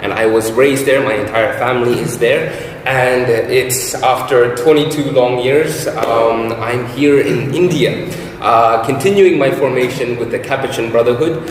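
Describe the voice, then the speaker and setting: A young man from New Zealand introduces himself at an easy, slow pace, with gentle fillers between his thoughts. His mid-pitched voice rings out in a very echoey hall with a long reverb. A faint hum of noise sits underneath. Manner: slow, unhurried, with soft filler pauses. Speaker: Capuchin brother in formation. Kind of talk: casual self-introduction.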